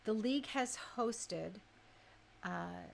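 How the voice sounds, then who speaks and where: A woman radio host chatting casually and informally, thinking out loud as she shares her opinions. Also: medium pitch, expressive, slow, gravelly, a little hiss or hum.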